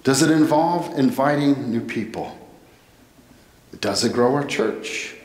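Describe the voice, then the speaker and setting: A middle-aged American man giving a sermon as a pastor, speaking deliberately in short phrases with pauses. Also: medium pitch, darkish tone. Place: indoor church, at a pulpit. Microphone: headset microphone. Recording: echoey church with long reverb.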